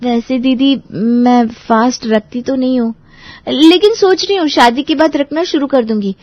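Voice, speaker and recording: A woman's voice: high voice, a little slow, no echo. A woman playing a polite, earnest young bride-to-be in a family audio drama, with slightly dull sound. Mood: eager, earnest. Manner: respectful, earnest. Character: polite, earnest young bride-to-be meeting her sisters-in-law.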